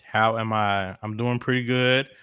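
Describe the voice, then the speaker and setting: A man's voice: lowish voice, steady tone, a little slow, pushed and bright, clear, resonant tone, dry recording, clean studio sound. A young man co-hosting a casual podcast, breezy and jokey, breaking into little sung bits. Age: young adult. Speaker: podcast co-host. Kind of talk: casual chat.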